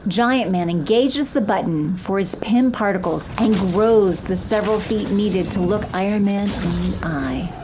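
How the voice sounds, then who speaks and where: A woman narrates an audio drama from a script. Her voice sits at a middle pitch, with a little noise in the background. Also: a little slow, hardly any pauses.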